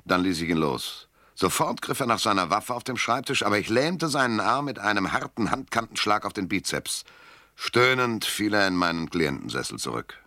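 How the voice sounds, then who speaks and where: A man's voice: on the low side, animated, moderate pace, pushed and bright, roomy sound. An actor playing a sardonic, self-assured private detective in a German radio crime drama, narrating his case and talking in a dry, mocking way. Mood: dry, matter-of-fact.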